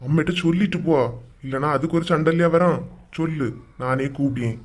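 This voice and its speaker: This is a woman acting as a henpecked husband and son in a comedy cartoon. The voice sits slightly low for a woman. It placates and hushes in a Kanyakumari Tamil accent. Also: brisk. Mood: placating. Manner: placating, hushing.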